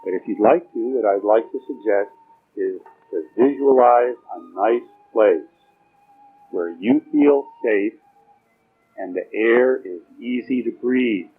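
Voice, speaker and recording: A man leading a guided meditation, giving slow spoken instructions in a soothing, permissive and informal way, with pauses. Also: mid-range voice. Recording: dull, muffled lo-fi recording with music.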